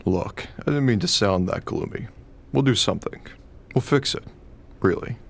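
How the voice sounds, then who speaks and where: Man playing a low-voiced, anxious, insistent husband. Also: normal speed, vocal fry. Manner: earnest, insistent questioning. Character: anxious, argumentative, analytical young expectant husband. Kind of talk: radio play acting.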